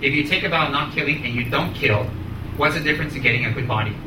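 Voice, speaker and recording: A man with an American accent teaching Buddhism to students, speaking emphatically and deliberately in short repeated phrases. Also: a little high. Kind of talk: spoken teaching with questions to students. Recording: echoey room, distant mic, clear noise.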